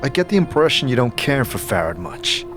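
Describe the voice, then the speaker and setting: A scarred wanderer acted by a man asks short, probing questions with quiet sympathy. His mid-pitched voice rises and falls expressively over faint music, in the manner of a fantasy audio drama. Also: big pitch swings, brisk, lightly acted. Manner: questioning, brief.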